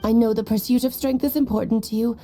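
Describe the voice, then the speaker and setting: A woman's voice: a little high, steady tone, fast talker, mellow, faint music behind, natural voice. A woman voicing a sly, worldly mentor with playful, theatrical phrasing and cryptic advice in an anime-style game. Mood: teasing, knowing.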